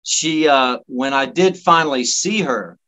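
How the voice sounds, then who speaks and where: A man from Miami, Florida, a guest musician telling casual anecdotes in a halting, folksy, jokey way. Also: mid-range voice, bright, forceful tone, a little hiss or hum. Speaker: guest musician, singer-songwriter.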